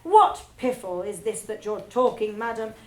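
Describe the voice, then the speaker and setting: A woman voicing a bullying headmistress who barks her lines loudly and scornfully. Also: fairly high pitch, animated, moderate pace, reverberant, a crowd in the background, close to an everyday voice. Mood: scornful bellowing. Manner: loud, scornful barking. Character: bullying, barking female headmistress.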